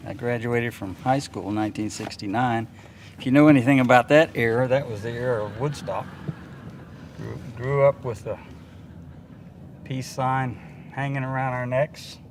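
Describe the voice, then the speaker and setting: A man's voice: mid-range voice, fairly even intonation, normal speed, long pauses. A man in his sixties chatting casually, with a playful, sly, folksy manner. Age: 60s. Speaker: airport worker interviewed on location. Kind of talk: casual chat. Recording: noisy field recording, vehicle sounds.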